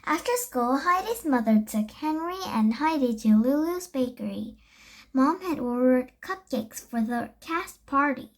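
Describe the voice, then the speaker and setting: A young girl reading a children's story aloud, haltingly, with stumbles and self-corrections. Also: sits high, lively intonation. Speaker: storybook reader.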